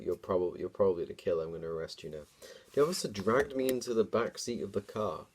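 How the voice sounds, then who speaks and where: A man's voice: lowish voice, a little mellow. A man hosting a dramatic story reading, mixed with amused, informal comic commentary. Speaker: host reading his own childhood story.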